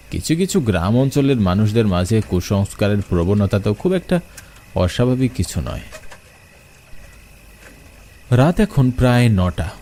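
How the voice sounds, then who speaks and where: A young man narrating a first-person horror story, expressive and asking questions as he goes. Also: fairly low pitch.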